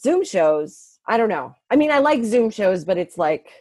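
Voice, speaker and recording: A woman comedian with a dry voice, chatting casually with halting fillers, heard over a video call. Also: medium pitch, very animated, a little mellow, dry recording. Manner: laid-back, halting with fillers. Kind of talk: casual chat.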